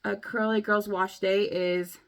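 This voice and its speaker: Chatty young woman, slightly hoarse. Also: mid-range voice, level tone, measured pace. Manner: chatty, rambling, many likes and ums. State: a bit hoarse from a cold. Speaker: beauty vlogger. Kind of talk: casual chat to camera.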